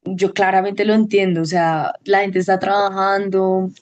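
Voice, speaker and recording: A woman at a medium pitch, giving her testimonial in an interview. She thinks aloud as she goes, hesitating and starting sentences again. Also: little pitch movement, normal speed, darkish tone, muffled recording. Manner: thoughtful, hesitant, many restarts. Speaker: au pair program participant, teacher.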